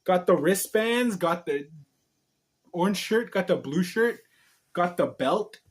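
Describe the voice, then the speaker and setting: Higher-pitched man, playful storybook reader. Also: animated, slow pace, slightly rough. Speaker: host reading a storybook to viewers. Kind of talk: storybook read-aloud and casual chat to viewers.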